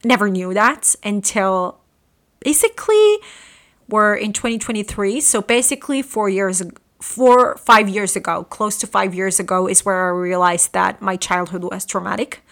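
An earnest woman in her late twenties from Morocco talks through a personal story on her podcast. Her voice is bright and clear, with a pitch in the middle range. She speaks haltingly and often restarts her sentences. She is recording in a room at home. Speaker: podcast host.

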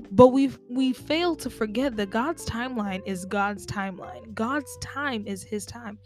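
Woman host, casual and chatty, speaking to listeners over soft music. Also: mid-range voice. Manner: chatty, conversational, addresses listeners directly. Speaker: podcast host. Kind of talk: casual faith talk.

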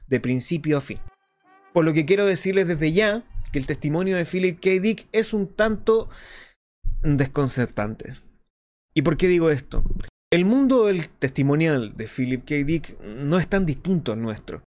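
An earnest underground radio host acted by a man delivers a scripted radio drama monologue in a fairly high male voice. He explains things deliberately and didactically, with faint music behind him. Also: lightly acted. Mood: solemn, welcoming. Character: clandestine radio host of a dystopian resistance show, earnest and explanatory.

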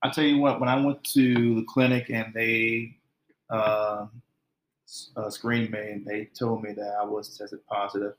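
A man telling his side of a shared story, earnest and halting with repeated restarts. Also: lowish voice, little pitch movement, roomy sound, a little hiss or hum, low-quality recording. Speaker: guest, her partner. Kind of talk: casual conversational storytelling.

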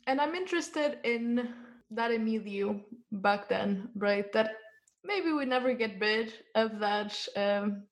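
Woman host asking reflective questions, keeps saying right?, voice a bit high. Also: expressive, takes it slowly. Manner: thoughtful, tags questions with "right?". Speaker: interviewer. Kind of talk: casual reflective interview.

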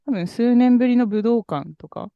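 A man co-hosting a podcast, telling a story in a relaxed, rambling way with many fillers. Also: very high voice, moderate pace, creaky, no echo, highs cut off. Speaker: podcast co-host. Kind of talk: casual chat about music.

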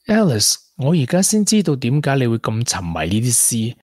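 An actor playing a supportive, sensible young boyfriend in a Hong Kong Cantonese radio drama. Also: male voice, medium pitch, big pitch swings, moderate pace, darkish tone, no echo, clean studio sound. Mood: understanding.

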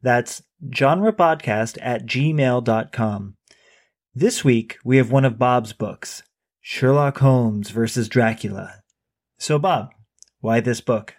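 A man's voice: mid-range voice, takes it slowly, dry recording, clean studio sound. A man co-hosting a book podcast, chatting casually with many fillers and restarts. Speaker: podcast co-host.

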